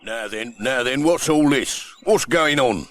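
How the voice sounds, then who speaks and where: Bossy storybook policeman, male actor, fussy, repetitive orders, lifted lively voice, slight tape noise. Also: mid-range voice, a little slow, pitched above the performer's own voice. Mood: officious. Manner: officious, repetitive commands. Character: bossy, fussy village policeman taking charge. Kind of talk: children's storybook audiobook character voice.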